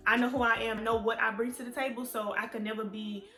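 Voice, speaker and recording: A woman's voice: fairly high pitch, little pitch movement, fast, pushed and bright. A woman, chatting candidly to her viewers in a storytime vlog. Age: 20s. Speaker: vlogger. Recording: echoey room with faint music.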